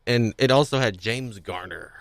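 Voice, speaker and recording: A man's voice: medium pitch, moderate pace, no room sound, studio quality. A man hosting a casual podcast discussion, talking in a rambling way and often rephrasing himself. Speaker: podcast host.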